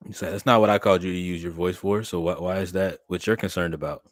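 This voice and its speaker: A young man speaks in a low voice, animated and preacherly as he talks straight to his livestream chat. The sound is close and dry with no room around it. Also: quick pace, dry recording. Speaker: streamer and podcast host.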